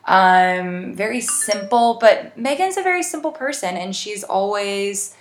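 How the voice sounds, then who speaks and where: A woman's voice: mid-range voice, expressive, a little slow, roomy sound, faint music behind. A woman hosting a podcast, chatting casually through celebrity news with informal, gushing, rambling asides. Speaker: podcast host.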